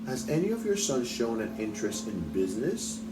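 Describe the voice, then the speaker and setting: A man's voice: mid-range voice, very animated, fairly quick, recorded from a distance, natural voice. A man voicing a proud, determined storybook mother who speaks politely but pointedly. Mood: politely prying. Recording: roomy echo, off mic, music behind.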